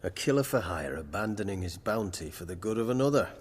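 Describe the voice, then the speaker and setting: An actor playing a condescending, zealous witch hunter in a video game, speaking formally and pompously in a rough voice. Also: low-pitched, lively intonation, fast talker, long reverb tail. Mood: cold, condescending. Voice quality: husky, rough.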